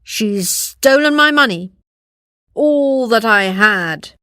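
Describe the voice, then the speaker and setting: A woman's voice: on the high side, expressive, slow, bright, forceful tone, no echo, clean studio sound, full character voice. A woman voicing a nasty old storybook witch, angry and chanting in sing-song rhyme. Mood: sing-song accusing. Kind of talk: storybook character voice.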